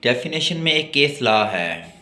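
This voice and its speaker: A man with a Pakistani accent giving a law lecture, reading out legal text deliberately and then explaining it. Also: medium pitch, big pitch swings, sharp tone. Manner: alternates slow deliberate reading with plainer explanation. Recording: echoey, reverberant room sound.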